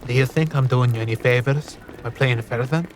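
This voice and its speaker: An actor playing a cheeky, scheming card cheat in an audio fantasy drama, with a sly, teasing, slangy way of talking. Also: male voice, medium pitch, brisk, a little hiss or hum, lightly acted.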